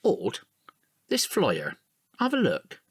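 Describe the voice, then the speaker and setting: A middle-aged father in an audio drama, weary yet resolute. His phrasing is terse and firm, with a widely moving pitch. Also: wildly swooping pitch, takes it slowly, slightly rough, full character voice.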